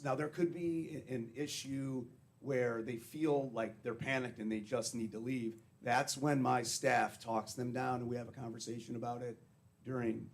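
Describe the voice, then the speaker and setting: Brief: man, a little hoarse, blunt and plain-spoken, explaining his facility at a public meeting. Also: medium pitch. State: slightly hoarse. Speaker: treatment facility director.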